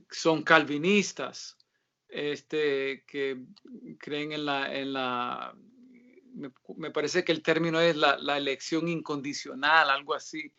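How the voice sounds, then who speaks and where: A man, a guest author, answering religious questions in a casual interview, talking haltingly with many filler sounds and pauses. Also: fairly high pitch, vocal fry, bright, forceful tone, clear, resonant tone, lo-fi sound. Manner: reads scripture aloud in a steadier tone.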